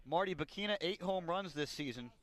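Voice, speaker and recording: A man calling baseball play-by-play for radio in a measured delivery that builds on big plays, working as a broadcaster at an outdoor ballpark. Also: a little high, expressive, a bit bright. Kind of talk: radio sports commentary.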